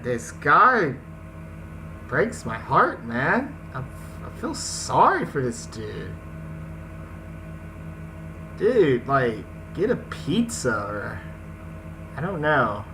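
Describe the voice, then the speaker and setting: A man's voice: mid-range voice, big pitch swings, drawn-out words, pauses often, background noise. A man hosting a gaming video, moving between casual slangy commentary and reading a story aloud. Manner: slangy, informal asides. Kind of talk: casual let's play with read-aloud story narration. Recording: game music behind the voice. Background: video game music.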